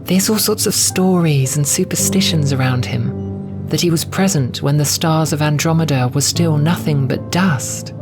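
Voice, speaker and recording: Gentle feline novice nun, acted by a man, soft wistful storyteller, pitched up, music underneath. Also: normal speed, lightly acted. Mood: hushed, wondrous. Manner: soft, wistful, faintly bitter, earnest storytelling. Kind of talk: audio drama storytelling.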